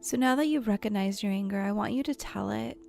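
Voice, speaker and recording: A woman leading a guided imagery meditation in a soft, soothing, gentle voice that turns breathy at times, with unhurried pauses. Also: mid-range voice. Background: soft background music.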